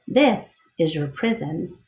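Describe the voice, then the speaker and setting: Storybook lead: big, protective male gorilla, thoughtful and gentle, measured pace, read by a woman, in a roomy space. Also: lowish voice, lively intonation. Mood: earnest explaining.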